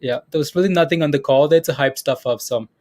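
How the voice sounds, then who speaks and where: A man's voice: fairly high pitch, quick pace, darkish tone. A man with a slightly raised voice hosts a live market show, talking loosely and repeating himself as he answers viewers. He laughs easily and keeps checking in with his audience. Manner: chatty, repeats phrases, reads chat names aloud, laughs often. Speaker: stock trading livestream host. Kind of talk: casual live market commentary.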